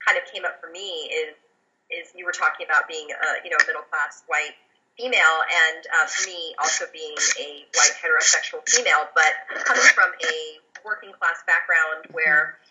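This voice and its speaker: Woman, German-born, native German speaker, low, sharp and very bright tone, thoughtful storyteller, muffled lo-fi sound. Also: fairly even intonation, normal speed. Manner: reflective, storytelling, frequent fillers. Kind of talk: casual podcast conversation.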